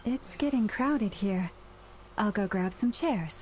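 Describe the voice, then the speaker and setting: A woman's voice: mid-range voice, expressive, slow, a little hiss or hum. Casting: kindly, soothing young woman, courteous anime-game ally, slightly muffled sound. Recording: muffled game capture, faint ambience.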